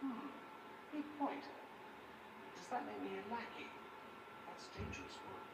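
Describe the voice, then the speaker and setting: An actor playing a witty, self-assured, sardonic mage in a fantasy video game, chatty and theatrical. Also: male voice, sits high, expressive, measured pace, gravelly, exaggerated voice. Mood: playful, sardonic. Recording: roomy, distant game capture with loud background noise.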